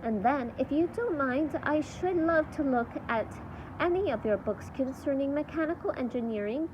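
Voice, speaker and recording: A well-mannered, inventive girl from a children's storybook, voiced by a woman reader. She speaks earnestly in a fairly high voice, with slight noise in the recording. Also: expressive, moderate pace. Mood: polite, eager.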